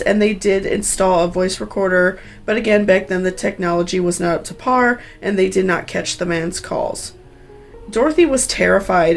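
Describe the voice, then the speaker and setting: A woman's voice: mid-range voice, gravelly, slight background noise. A woman hosting a true crime story, reading scripted narration with personal asides in an earnest, sympathetic, cautionary way.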